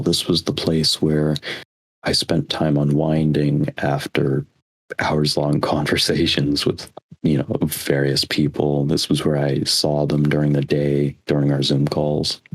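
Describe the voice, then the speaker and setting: A man's voice: a bass voice, flat delivery, measured pace, slightly rough. A young American man in his twenties co-hosting a podcast, chatting casually in a rambling, reflective way. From United States. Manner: rambling, reflective, with frequent restarts. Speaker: podcast co-host. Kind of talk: casual chat.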